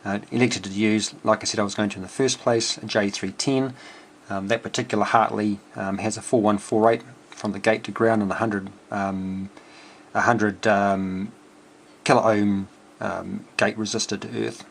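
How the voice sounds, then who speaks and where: A man giving an informal technical walkthrough as a hobby builder, thinking aloud in a hesitant, halting way. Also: fairly low pitch. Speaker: amateur radio builder presenting a project. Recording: clear steady background noise.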